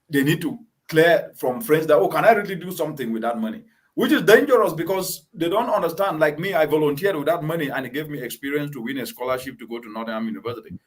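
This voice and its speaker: Male host from Cameroon, emphatic and repetitive, a touch high-pitched. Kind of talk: conversational interview.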